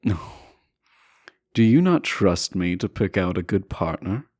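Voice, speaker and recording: A man playing a teasing, self-assured deposed prince in a romantic audio roleplay, speaking softly and deliberately with courtly, formal phrasing. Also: low voice, expressive, no echo, clean studio sound. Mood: probing, defensive. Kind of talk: romantic audio roleplay acting, addressing the listener.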